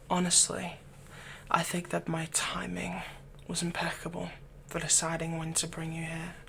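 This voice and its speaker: A man playing a doting, slyly manipulative villain captor in an intimate ASMR roleplay, speaking gently and coaxingly with unhurried pauses. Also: on the high side, gravelly, a little hiss or hum. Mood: softly manipulative.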